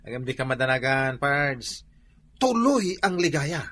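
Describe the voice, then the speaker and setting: A boisterous middle-aged Ilocano husband in a radio comedy. His voice is husky and swoops about wildly as he cajoles in a sing-song, exclamatory way. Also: medium pitch, very animated, slow, pushed and bright, no echo, heavily acted. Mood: jolly. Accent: Ilocano, northern Philippines. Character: boisterous middle-aged village husband, birthday drinker fond of singing. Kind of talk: comedy radio drama acting.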